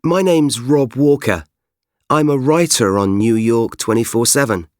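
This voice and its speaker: A man's voice: mid-range voice, a little slow, no room sound, studio quality, lightly acted. A man with a British accent, playing a young writer in a scripted language-course dialogue, easygoing and apologetic. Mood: friendly. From Britain. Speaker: magazine writer character.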